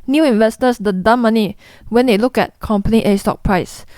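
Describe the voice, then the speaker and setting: A woman's voice: on the high side, moderate pace, darkish tone, no room sound. Blunt Singaporean woman vlogger, dry sound. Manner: blunt, chatty, mimics people in quotes. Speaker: investing vlogger. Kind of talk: direct-to-camera explainer.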